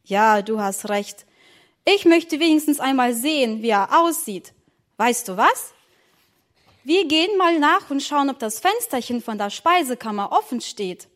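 Woman reading as a little girl, high childlike voice, begging, storybook. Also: lively intonation, measured pace. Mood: scheming. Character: little girl, eager and pleading.

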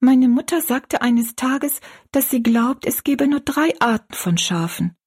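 A woman voicing an earnest, pious young girl in a storybook, gently and politely explaining things. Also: high voice, animated, unhurried, husky, pitched above the performer's own voice, full character voice.

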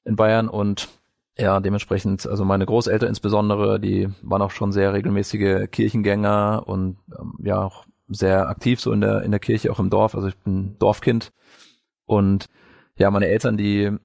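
A man from northern Bavaria telling his life story as an interview guest, halting as he searches for words. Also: lowish voice, little pitch movement, gravelly, darkish tone, no echo, clean studio sound. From northern Bavaria, Franconia, Germany. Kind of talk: personal life story in conversation.

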